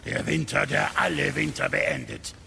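A man's voice: a little high, normal speed, gravelly, sharp tone, slight background noise, heavily acted. A man playing a gloomy, earthy dwarf in a game-based audio drama, insistent and crude in his doom-laden talk. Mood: insistent gloom. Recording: music behind the voice.

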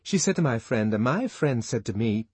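A man voicing an excitable young woman passing on gossip in a rushed way. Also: mid-range voice, slightly rough, dry recording. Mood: gossipy.

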